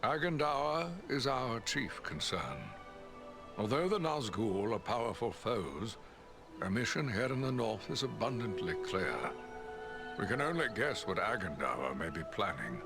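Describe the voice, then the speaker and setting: An actor playing a wise old wizard in a fantasy game, grave and courteous, speaking in measured, theatrical declamation. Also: male voice, on the low side, big pitch swings, over music. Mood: concerned, counselling. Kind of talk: dramatic fantasy game acting.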